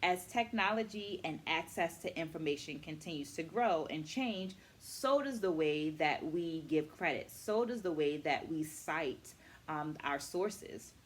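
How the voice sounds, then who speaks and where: A middle-aged woman speaks in a slightly low-pitched voice. She explains things like an educator on a book vlog, choosing her words deliberately. Key points are set out with emphatic, instructional enunciation. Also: expressive. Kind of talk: book review vlog, explanatory talk to camera.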